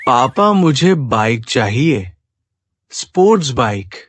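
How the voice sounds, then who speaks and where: A defiant, spoiled young man from a moral-story cartoon , voiced by a male actor. He is insolent and demanding, drawing his words out slowly with a lot of melodic movement. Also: medium pitch, very animated, background noise. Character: spoiled, defiant young adult son of rich merchant.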